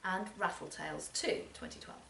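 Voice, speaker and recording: A woman's voice: fairly low pitch, big pitch swings, moderate pace, vocal fry, a bit bright. Female literary-event host delivering prepared introductions, recorded at a distance in a reverberant space. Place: performance space in a library centre. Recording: roomy, distant mic, background noise.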